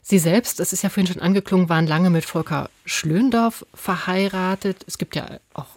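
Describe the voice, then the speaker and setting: Low-pitched woman hosting radio, dry studio sound. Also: normal speed, darkish tone, no room sound. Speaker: radio host and interviewer. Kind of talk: cultural radio interview, prepared moderation. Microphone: close studio microphone.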